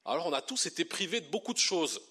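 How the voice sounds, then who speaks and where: A middle-aged man from Belgium, a pastor and theology teacher, preaching a sermon with lively delivery that slows down to stress key words. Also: fairly high pitch, husky.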